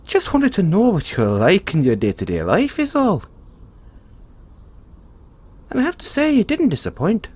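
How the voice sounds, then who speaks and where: Lilting male leprechaun, acted by a man, swooping pitch. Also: huge pitch swings, moderate pace, long pauses, darkish tone, full character voice. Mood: fond, admiring. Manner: chuckling, teasing, folksy talk. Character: cheerful, kindly male leprechaun, chatty and sly. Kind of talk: audio roleplay addressed to the listener.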